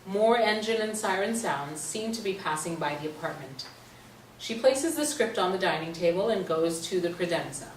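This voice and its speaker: A woman's voice: fairly low pitch, animated, normal speed. A woman narrator reading stage directions in a scripted play reading. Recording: roomy stage, distant mic, faint noise.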